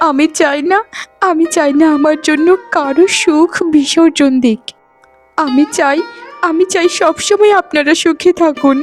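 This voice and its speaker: A woman voicing a meek, heartbroken wife in a cartoon melodrama, tearful and halting, repeating her words as she pleads. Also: sits high, soft background music. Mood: tearful, resigned. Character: meek, wronged, heartbroken wife, forgiving and humble.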